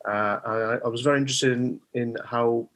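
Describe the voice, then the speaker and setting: A man's voice: medium pitch, fairly even intonation, normal speed, a bit bright. Welsh man, hesitant and thoughtful. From Wales. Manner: hesitant, thoughtful, with many fillers. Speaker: guest, mind coach. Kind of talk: conversational interview.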